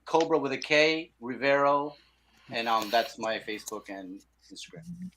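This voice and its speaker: A man's voice: mid-range voice, moderate pace, a bit bright, slight background noise. A man chatting casually and telling anecdotes as a podcast guest. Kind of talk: casual chat.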